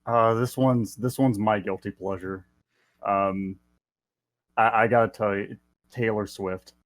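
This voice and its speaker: A man's voice: on the low side, fairly even intonation, a little slow, no echo. A man chatting casually as a podcast guest, a little hesitant and sheepish. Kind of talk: casual chat.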